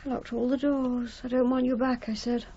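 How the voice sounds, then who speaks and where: An actress playing a bitter, jilted wife in a radio drama, speaking in a blunt, dry, wry way. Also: female voice, on the high side, flat delivery, moderate pace, mellow, slightly rough. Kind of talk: 1980s British radio drama acting. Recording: slightly dull archive radio recording.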